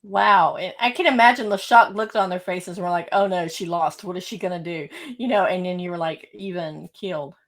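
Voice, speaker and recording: A woman's voice: lowish voice, moderate pace, hardly any pauses, a bit bright. A woman hosting a podcast interview, responding to her guest in an affirming, encouraging way. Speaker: podcast host.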